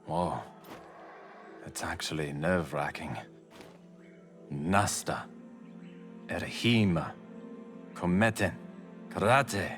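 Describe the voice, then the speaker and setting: A man's voice: low voice, slow pace, long pauses, a bit bright, faint music behind. A man voicing a wry, slightly nervous spell apprentice in a fantasy game, hesitant and understated. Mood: wry, uneasy.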